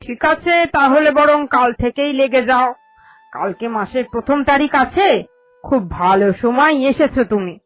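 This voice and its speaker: A woman's voice: high voice, lively intonation, normal speed, dry recording. A woman voicing a vain, greedy, bossy village moneylender in a cartoon, with a haughty and emphatic manner. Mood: businesslike. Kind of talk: Bengali cartoon fairy-tale voice acting. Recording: music under the voice.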